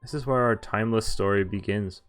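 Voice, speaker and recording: A man's voice: fairly low pitch, fairly quick, faint music behind. A man streaming a visual novel, reading its dialogue and narration aloud and breaking off with casual, laughing asides. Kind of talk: game playthrough, reading lines aloud with commentary.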